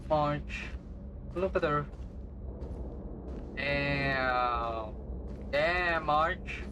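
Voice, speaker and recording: A man's voice: fairly high pitch, drawn-out words, background noise. A man streaming a game, reacting casually in short slangy mutters and reading on-screen text aloud.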